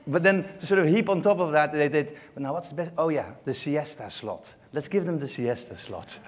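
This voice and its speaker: A man's voice: a little high, animated, brisk. A middle-aged man with a Dutch accent giving a joking, self-deprecating conference talk, with frequent ums and uhs. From Netherlands. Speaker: conference speaker. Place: conference hall stage.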